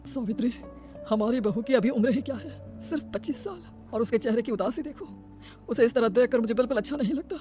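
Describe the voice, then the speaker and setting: A woman's voice: fairly high pitch, a little fast, mellow, close to an everyday voice. A woman voicing a kindly, grieving older father-in-law in a cartoon family melodrama, earnest and consoling, with music behind. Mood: worried.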